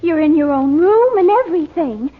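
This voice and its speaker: A high, anxious daughter. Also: female voice, lively intonation, normal speed. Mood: worried. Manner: worried, coaxing. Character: Betty, anxious young daughter. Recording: thin, tinny old radio recording with hiss.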